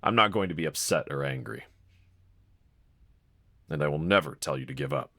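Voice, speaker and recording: A man playing a gentle, encouraging husband in an intimate scripted roleplay audio, speaking in a considerate, reassuring manner. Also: rumbling low, normal speed, dry recording, exaggerated voice. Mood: tender, sincere. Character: gentle, encouraging adult husband, calm and caring. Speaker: character audio voice actor.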